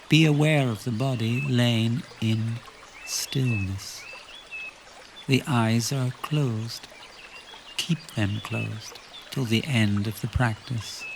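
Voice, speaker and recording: A man leading a guided relaxation meditation, giving calm instructions in short phrases with long pauses between them. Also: mid-range voice, noisy background.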